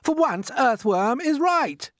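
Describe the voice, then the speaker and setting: A performer as a blustering, high-pitched centipede. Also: sing-song, takes it slowly, dry recording, studio quality, heavily acted. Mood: blustering excitement. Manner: loud, exclamatory. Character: blustering, excitable male centipede. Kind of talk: storybook character voice.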